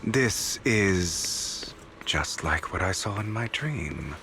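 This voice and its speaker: A man's voice: low-pitched, expressive, slow, bright, forceful tone, slight background noise, heavily acted. An actor playing a suave, theatrical young man in a video game, wry, resolute and elegant in his phrasing. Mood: weary, teasing. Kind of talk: dramatic video game voice acting. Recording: music behind the voice.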